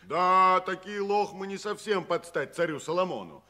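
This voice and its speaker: An actor playing a good-hearted, folksy tramp who exclaims in colloquial speech, in a 1960s radio drama. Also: male voice, high voice, normal speed, pushed and bright. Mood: kindly, persuasive.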